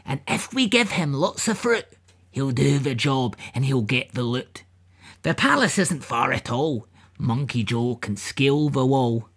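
A woman voicing a sly, gleeful male robber in a children's storybook, gruff and theatrical. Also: very deep voice, lively intonation, unhurried, pitched below the performer's own voice, heavily acted.

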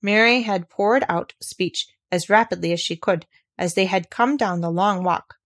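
Female audiobook narrator, medium pitch, scripted storybook reading. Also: normal speed, fairly bright tone. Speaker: audiobook reader.

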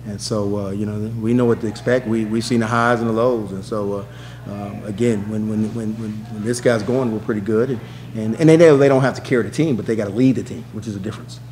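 Fast-talking middle-aged man, emphatic coach. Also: on the low side, fairly even intonation, darkish tone, a little hiss or hum. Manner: rapid, repetitive restarts, emphatic. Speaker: head basketball coach. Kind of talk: post-game press conference answers. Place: press room with backdrop. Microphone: table mic.

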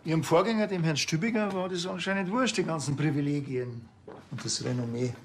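An actor playing a dry, offhand small-town police officer with a Bavarian accent in a TV crime comedy. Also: male voice, a little high, fairly quick, background noise, lightly acted. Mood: dry, probing. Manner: offhand, laconic.

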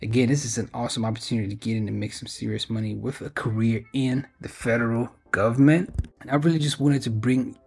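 Husky-voiced man explaining job openings. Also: mid-range voice, steady tone, quick pace, a little mellow. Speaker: video host sharing job openings. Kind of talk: informal explainer commentary reading from a web page.

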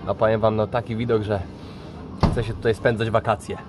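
A man's voice: on the low side, level tone, fairly quick, over music. A man giving casual, colloquial, joking vlog commentary as he follows a drift team.

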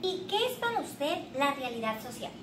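A woman's voice: high-pitched, sing-song, moderate pace. A young woman hosting a scripted mock interview, asking formal questions. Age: young adult. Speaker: interviewer, show host. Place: small bare indoor room with tiled floor. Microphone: far camera. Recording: echoey room, distant mic, background hiss.

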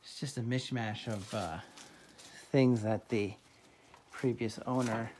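A man in his thirties hosting a casual vlog tour, talking in a halting, playfully dramatic way into a handheld phone. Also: medium pitch, steady tone, a little mellow, a little hiss or hum. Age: 30s. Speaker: vlog host. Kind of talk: casual first-person video tour. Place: at home indoors, then outdoors and inside an old barn. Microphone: handheld phone at arm's length.

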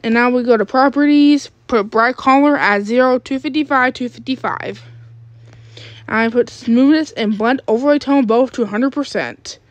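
A woman giving a step-by-step app tutorial in a halting way, searching for words. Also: a little high, no echo, dull audio. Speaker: tutorial creator.

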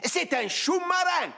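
High-pitched, theatrical jovial cartoon king. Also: gravelly, bright, forceful tone, heavily acted. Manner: theatrical, exclaiming, booming showman delivery. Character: jovial older king, doting father who loves riddles. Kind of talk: French children's cartoon voice acting. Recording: music behind the voice.

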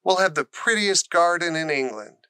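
A man's voice: on the high side, expressive, moderate pace, pushed and bright, clean tone, voice pushed up. A man voicing a friendly, eager country boy who loves animals in a storybook reading. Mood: enthusiastic.

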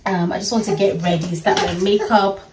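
A woman's voice: mid-range voice, steady tone, a little fast, recorded from a distance, noisy background. Playful woman in her thirties. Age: 30s. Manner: playful, chatty, laughs often. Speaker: vlogger. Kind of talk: casual get-ready-with-me vlog. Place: small bedroom at home.

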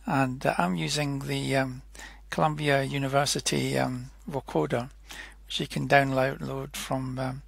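A man narrating an informal technical tutorial in a hesitant, halting way, often correcting himself as he explains. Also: medium pitch, flat delivery, gravelly, no echo. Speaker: software demonstrator.

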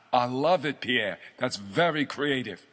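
A man's voice: mid-range voice, animated, slow, fairly bright tone. An American man hosting a casual talk show, chatting in a folksy, repetitive, easygoing way.

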